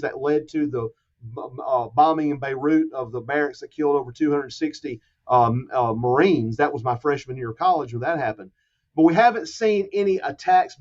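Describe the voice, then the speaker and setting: A man in his fifties talks things through in a measured, explanatory way as a podcast guest. His voice sits a little high for a man, and the recording is slightly muffled. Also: darkish tone. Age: 50s. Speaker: guest expert. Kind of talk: podcast discussion.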